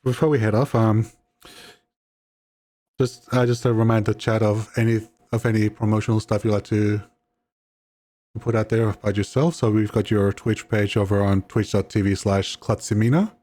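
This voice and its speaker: A man with a fairly low voice hosts a casual podcast chat. He is friendly and hesitant, and he often stumbles and repeats his words. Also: flat delivery, moderate pace, mellow. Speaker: podcast host.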